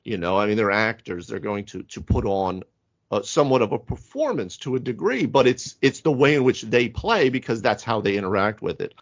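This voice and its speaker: A man's voice: lowish voice, expressive, brisk. A man co-hosting a casual tabletop gaming podcast, explaining at length and with emphasis. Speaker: podcast co-host. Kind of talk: casual panel discussion on tabletop role-playing. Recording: dull, band-limited audio.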